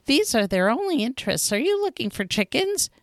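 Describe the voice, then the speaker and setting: A gentle, lonely male fox from a storybook, as a woman puts him on, pleading to be befriended. The voice sits very high and swoops in a lively sing-song. It sounds close and dry. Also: a little fast, no echo. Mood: earnest pleading. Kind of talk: storybook reading.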